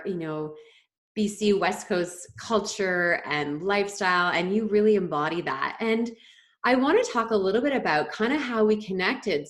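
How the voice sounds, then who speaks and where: Upbeat, bubbly woman hosting an interview. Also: mid-range voice. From Canada. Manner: enthusiastic, upbeat, laughs easily. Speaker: podcast host, interviewer. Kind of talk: casual interview chat. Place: home in a small coastal community.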